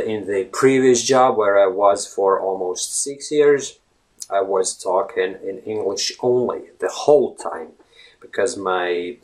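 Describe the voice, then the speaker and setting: A man with a Latvian accent and a slightly low voice talks casually straight to the camera. He wanders off the subject as he goes and keeps tagging his points with a questioning "right?". He sounds like someone in his thirties. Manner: rambling, keeps asking "right?". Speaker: language blogger.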